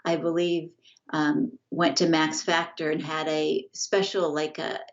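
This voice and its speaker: A middle-aged woman with a lowish voice talks as a guest on a video call, stopping and restarting her sentences often. The audio is dull and lo-fi. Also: little pitch movement. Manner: halting, many restarts and fillers. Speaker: guest, family estate representative. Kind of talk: casual interview over video call. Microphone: video call, earbuds.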